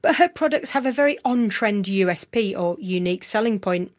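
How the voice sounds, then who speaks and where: A woman's voice: mid-range voice, expressive. Woman presenting a radio business show. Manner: measured, clear presenter delivery. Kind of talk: broadcast news narration and interviewing.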